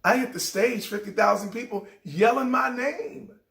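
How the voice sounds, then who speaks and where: A middle-aged man hosting a show, telling a heartfelt personal story in a raspy voice that sometimes cracks. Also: very high-pitched, normal speed, a bit bright, roomy sound. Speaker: show host. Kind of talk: inspirational personal talk.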